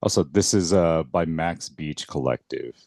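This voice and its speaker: A man chatting as a guest on a live podcast over a call, relaxed and halting, laughing often. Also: very deep voice, no room sound, clean studio sound. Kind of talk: casual chat.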